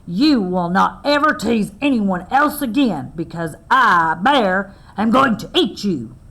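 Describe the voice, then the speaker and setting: A woman voicing a big grumpy male bear with a low, growling, threatening manner. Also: expressive, slow, a bit bright, heavily acted. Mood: menacing.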